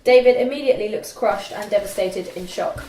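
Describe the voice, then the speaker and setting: A young woman reading screen directions as narrator at a script table read, halting and stumbling a little over the text. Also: mid-range voice, dark tone, a little hiss or hum. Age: young adult. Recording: echoey room.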